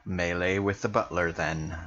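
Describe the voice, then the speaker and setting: A woman's voice: rumbling low, steady tone, unhurried, a bit bright, dull audio. A woman playing a tabletop game, casually chatting and narrating her character's moves, on a slightly noisy track with clicks.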